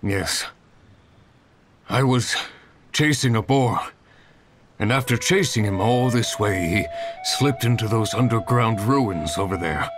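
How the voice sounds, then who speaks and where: A man voicing a weary, grateful hunter in a video game, speaking in a halting, earnest way. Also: on the low side.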